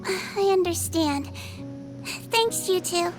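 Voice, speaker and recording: A woman's voice: extremely high pitch, expressive, slow pace, music in the background, heavily acted. A woman voicing a sweet, earnest, childlike young nurse who is secretly a magical creature, in a video game. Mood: kindly earnest. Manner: earnest, soft-hearted, childlike. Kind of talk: scripted video game acting.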